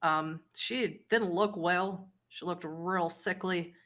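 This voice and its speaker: Tabletop game role: timid, dim male underling, played by a woman, hesitant and plain-spoken, stumbling over words, lowish female pitch. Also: fairly even intonation, slow, a bit bright. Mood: hesitant, uneasy. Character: timid, dim, nervous male human errand-runner for a vampire.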